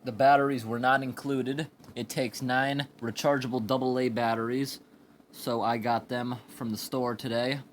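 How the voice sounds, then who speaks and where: A man giving an informal hands-on product review in a plain, explanatory way with halting phrases, recorded indoors on a handheld camera. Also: mid-range voice, little pitch movement. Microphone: handheld camera or phone microphone. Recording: amateur recording with background room noise.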